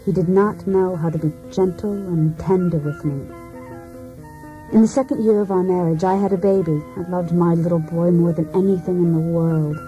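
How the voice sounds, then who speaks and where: An actress playing a disillusioned wife in a poetic radio drama, speaking in a measured, introspective, theatrical manner. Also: female voice, lowish voice, fairly even intonation, warm tone, dull audio, close to an everyday voice. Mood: reflective. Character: disillusioned, cool, self-searching wife in a failed marriage. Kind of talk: poetic monologue in a radio play. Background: music.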